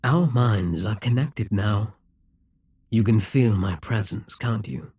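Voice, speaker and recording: A ruthless, possessive demon king, voiced by a man, speaks in a low, husky, unhurried drawl. He sounds calm and commanding, coaxing his listener and sinking into whispers. The audio-roleplay recording is dry and close. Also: dry recording, exaggerated voice. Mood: hypnotic.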